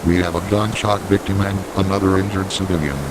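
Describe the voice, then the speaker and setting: Wind and surf rush behind a man acting as a police officer. His voice is on the low side and urgent, in an amateur radio drama style. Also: little pitch movement, fairly quick, lightly acted. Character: urgent male police officer at a storm scene. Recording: loud wind and surf noise.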